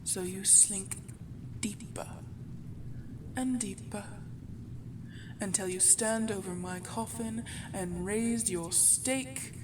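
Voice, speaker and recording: A woman's voice: medium pitch, takes it slowly, pauses often, far from the mic, background noise, natural voice. A woman playing an ancient vampire baroness in an ASMR roleplay, a mocking, seductive villain with a taunting, theatrical delivery. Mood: menacing, gloating. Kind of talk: ASMR audio roleplay acting.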